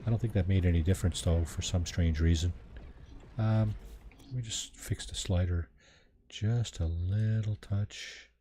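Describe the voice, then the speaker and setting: A man streaming a game in casual live commentary, with a low deep voice, talking close into a desk mic with a pop filter and trailing off with ums. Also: measured pace, slight background noise. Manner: relaxed, muttering, trails off with ums.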